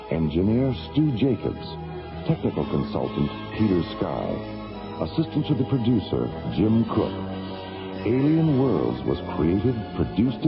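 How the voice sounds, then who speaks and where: A man's voice: low-pitched, gravelly. A male announcer reading closing credits in a formal style for a radio show. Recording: dull old radio broadcast under music.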